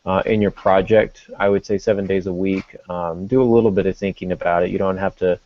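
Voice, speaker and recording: A middle-aged man hosting a podcast, talking casually in a halting, reflective way and correcting himself as he goes. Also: sits low, fairly even intonation, highs cut off. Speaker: podcast host.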